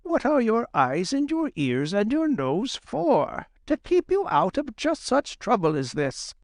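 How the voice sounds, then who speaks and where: A man voicing a shrewd old female fox, grandmotherly and sharp-tongued, lecturing in a storybook reading. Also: extremely high pitch, wildly swooping pitch, measured pace, no room sound, clean studio sound, pitched above the performer's own voice, exaggerated voice. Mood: scolding. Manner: sharp-tongued, lecturing, sing-song when reciting.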